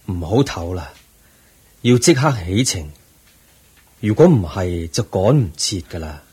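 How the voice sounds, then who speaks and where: Slow, deep, husky aged Buddha. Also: male voice, big pitch swings, mellow, exaggerated voice. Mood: calm, firm. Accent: Hong Kong Cantonese. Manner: slow, measured, solemn teaching delivery. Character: aged, serene Buddha, eighty, weary yet calm teacher. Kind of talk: Cantonese religious radio drama acting.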